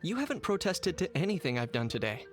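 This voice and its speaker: A man playing a cool, stoic young man in a video game, dryly observant and deadpan. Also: on the high side, soft background music. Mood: dry, suspicious. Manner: deadpan, deliberate.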